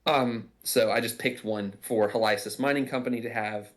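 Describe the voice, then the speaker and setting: A man's voice: fairly low pitch, fairly even intonation, moderate pace. A man giving casual behind-the-scenes commentary, explaining things in a reflective, self-deprecating way. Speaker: audio drama writer and voice actor.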